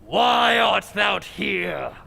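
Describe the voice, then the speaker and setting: A vengeful ghost lord shouts archaic threats in a very high, gravelly shriek. The delivery is slow and menacing, old radio drama style, ringing through a long cavernous reverb. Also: male voice, expressive, full character voice. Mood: menacing fury. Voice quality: raspy, strained shriek.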